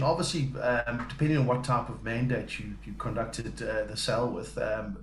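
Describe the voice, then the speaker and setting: South African man, halting interview answers, echoey room with light hiss. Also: medium pitch. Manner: hesitant, many ums and uhs. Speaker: business development and account manager.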